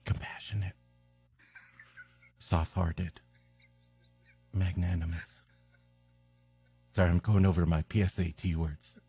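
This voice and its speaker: A man's voice: deep voice, flat delivery, slow pace, pauses often, dark tone, husky, faint music behind. A man co-hosting a comedy podcast with sardonic, wisecracking banter. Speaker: podcast co-host, interrupting commentator. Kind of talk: comic banter.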